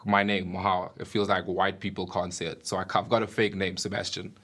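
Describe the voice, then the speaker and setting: A man gives an interview in a plain, matter-of-fact way. His voice is very deep. It stays flat, with almost no rise or fall. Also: fast talker, a little hiss or hum. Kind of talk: documentary interview.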